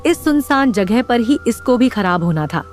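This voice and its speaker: A woman's voice: on the high side, animated, normal speed, soft background music, natural voice. A woman playing a fretful, complaining, easily scared young woman in a horror audio drama. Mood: annoyed.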